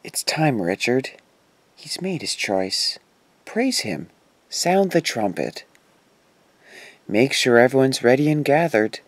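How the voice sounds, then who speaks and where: An actor playing a stern, formal doctor with a secret cult, in an audio horror drama. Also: male voice, mid-range voice, big pitch swings, dry recording. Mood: ominous, commanding. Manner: formal, deliberate.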